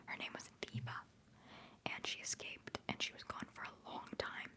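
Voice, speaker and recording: A young woman hosting a livestream, whispering softly as she rambles through chat messages and answers viewers fondly, holding back tears. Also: normal speed. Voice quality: soft whispering voice. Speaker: livestream host.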